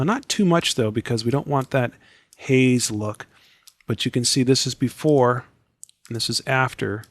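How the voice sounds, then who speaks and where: A man's voice: mid-range voice, no echo. Scratchy-voiced man walking through a tutorial. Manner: step-by-step instructive, relaxed and chatty. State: scratchy, losing his voice. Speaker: software tutorial instructor. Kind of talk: screen-recorded software tutorial.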